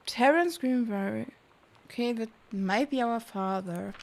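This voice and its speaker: A woman doing casual livestream game commentary, reading on-screen text aloud in a halting, puzzled way, muttering guesses as she goes. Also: on the high side, gravelly. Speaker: game streamer.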